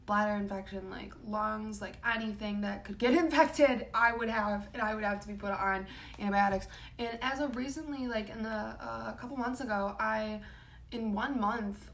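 A young woman with a raspy, low voice, talking casually about her life with many ums and likes. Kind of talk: personal vlog talk. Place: home room.

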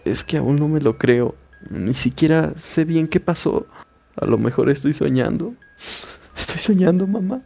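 A man voicing a frightened young woman who pleads and stammers in panic, in a gravelly recorded horror story. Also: fairly high pitch, lively intonation, measured pace, dark tone, faint music behind, exaggerated voice. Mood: terrified.

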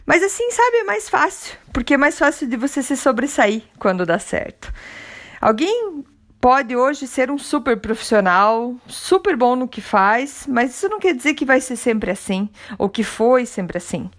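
A woman's voice: high voice, very animated, moderate pace. A woman with a Brazilian Portuguese accent hosting a motivational podcast, talking in a conversational, encouraging, reflective way. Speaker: podcast host.

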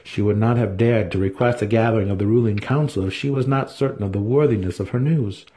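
A man's voice: lowish voice, quick pace, warm tone. A man giving a dramatic reading of a fantasy story as its narrator. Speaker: audiobook narrator.

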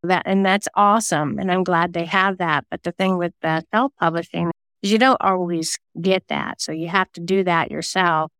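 A woman's voice: fairly low pitch, steady tone, normal speed, a bit bright, clear, resonant tone, no room sound. A woman answering interview questions in a chatty, informal way, explaining at length as a guest. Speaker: guest, singer-songwriter and self-published novelist.

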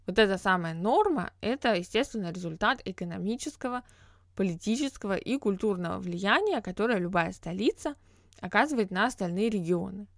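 A woman's voice: medium pitch, animated, brisk, dry recording, clean studio sound. A woman hosting a podcast, talking through ideas on her own in an explanatory, reflective way with frequent hesitation sounds. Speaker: podcast host. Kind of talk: solo podcast monologue.

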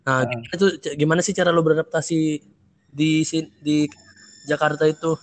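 A man's voice: a little high, fairly even intonation, brisk. A young man interviewing casually, asking chatty, slangy questions.

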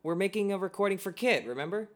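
An actor playing a sardonic but dutiful grown son in a radio play, with a dry, apologetic manner. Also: male voice, sits high, lively intonation, fast. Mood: apologetic, resigned.

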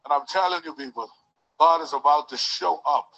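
A man's voice: a little high, fairly quick, vocal fry, highs cut off. A man leading a church service, preaching fervently with call-and-response and repeated hallelujahs. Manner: repeats hallelujah, rises to shouts.

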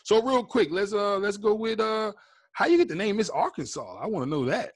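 A man's voice: high-pitched, sing-song, brisk, no echo, clean studio sound. A man hosting a casual comedy interview, bantering in playful, slangy talk. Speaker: host, comedian.

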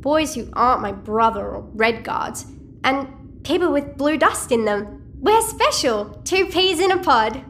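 A quirky teenage hacker girl, speaks in a high voice that swoops with cheeky energy. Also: expressive, measured pace, fairly bright tone, a little hiss or hum, full character voice. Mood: friendly, teasing. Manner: cheeky, chatty, slangy. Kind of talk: audio-fiction drama dialogue.

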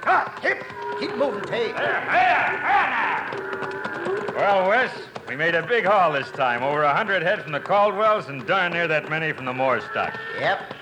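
An actor playing a gloating cattle rustler in an old-time radio drama. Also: male voice, sits high, very animated, moderate pace, noisy background. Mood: satisfied, driving cattle.

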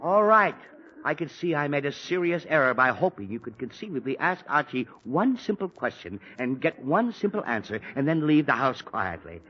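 A man's voice: a little high, sing-song, moderate pace, slightly rough. An actor playing an exasperated middle-aged father with a headache, blustering in 1940s radio comedy style, on a dull old broadcast recording. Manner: blustering, fussy, flustered outbursts.

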